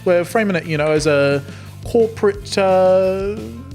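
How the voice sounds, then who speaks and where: A man voicing a smug, taunting rival restaurant manager in a tabletop game, with an Australian accent and halting corporate excuses. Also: sits high, vocal fry, darkish tone, faint music behind. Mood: smug, evasive. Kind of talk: improvised tabletop roleplay.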